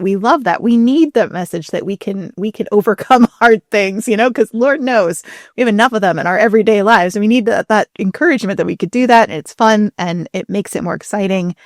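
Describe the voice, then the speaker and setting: Woman cohost just chatting and explaining stuff, animated, super informal, no room echo. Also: mid-range voice, normal speed, clean studio sound. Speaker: podcast co-host.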